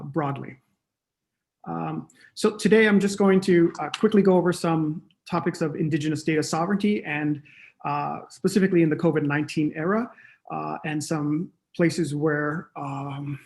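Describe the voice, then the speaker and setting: A middle-aged man from Hawaii presents as an academic. His fairly high voice has a lot of creak, and he moves through his points haltingly on a video call. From Oahu, Hawaii. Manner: hesitant, halting delivery. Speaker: economics and public policy professor. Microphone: video call microphone.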